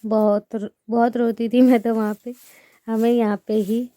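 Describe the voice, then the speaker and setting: A woman's voice: a little high, flat delivery, a little slow, dark tone, no echo. Chatty woman vlogging village chores. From India. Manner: chatty, rambling, addresses viewers as friends. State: sore throat. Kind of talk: casual chat to viewers while doing chores. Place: village house, sweeping and plastering with mud.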